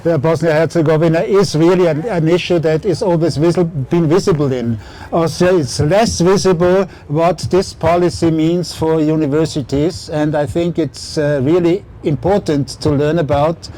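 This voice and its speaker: A man moderating a public talk outdoors, halting and full of fillers. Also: on the high side, warm tone. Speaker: event moderator. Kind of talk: public talk on a city tour. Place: outdoor city square.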